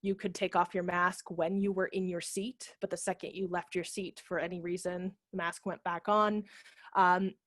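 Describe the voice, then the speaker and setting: A woman's voice: on the low side, flat delivery, moderate pace. A woman in her thirties explaining informally as a guest speaker on a video call, through a headset microphone. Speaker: guest speaker, theatre managing director. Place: room at home.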